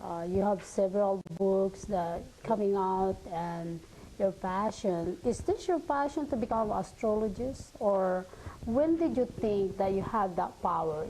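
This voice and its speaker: A woman's voice: mid-range voice, dark tone, slight background noise, poor audio. A woman with a Filipino accent hosting a casual live interview, teasing and interjecting with non-native English phrasing. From Philippines. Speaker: talk show host.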